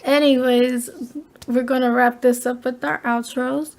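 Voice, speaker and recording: Woman, podcast host, soft voice, giggly, fairly high pitch, casual chat. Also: level tone, a little slow, vocal fry.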